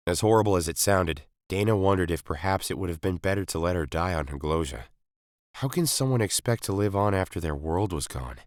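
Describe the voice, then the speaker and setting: A man's voice: low-pitched, no echo, clean studio sound. A man reading a scripted science fiction story as its narrator. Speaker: story reader.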